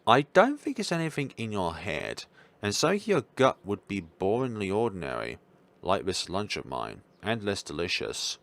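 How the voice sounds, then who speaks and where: A man voicing a blunt, curious teenage schoolgirl who talks in deadpan, rambling musings in a visual novel. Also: fairly low pitch, normal speed, gravelly, exaggerated voice. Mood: inquisitive.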